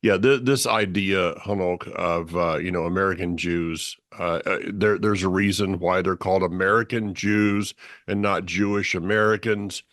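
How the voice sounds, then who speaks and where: A man's voice: low voice, steady tone, moderate pace, a bit bright, dry recording, studio quality. A man hosting a news update programme in a casual, conversational style. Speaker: host.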